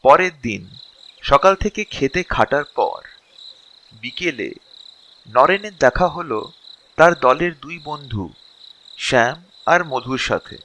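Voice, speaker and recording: A man's voice: mid-range voice, animated, takes it slowly, pauses often, bright, forceful tone, slight background noise. A man narrating a scripted story as its storyteller.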